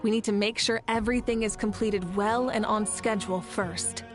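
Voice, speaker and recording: A woman's voice: medium pitch, fairly even intonation, moderate pace, lightly acted. A woman voicing a confident female leader of a nation, assured and commanding in a friendly way. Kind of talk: game voice acting. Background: game music.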